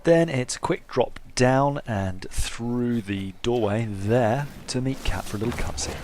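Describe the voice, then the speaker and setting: A man's voice: mid-range voice, measured pace, background noise. A man giving casual playthrough commentary with wry, self-deprecating humour. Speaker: gaming video host.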